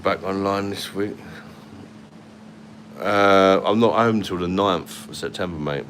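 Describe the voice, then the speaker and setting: A man with a London accent, his voice sitting very low, chats loosely through a livestream from a hotel room at night. He is blunt and swears freely while reading out comments, holding the phone at arm's length, with a faint air-conditioning hum behind him. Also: steady tone, slow, fairly bright tone. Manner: blunt and sweary, laughs often. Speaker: livestream host. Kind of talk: casual live chat reading comments. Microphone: phone held at arm's length.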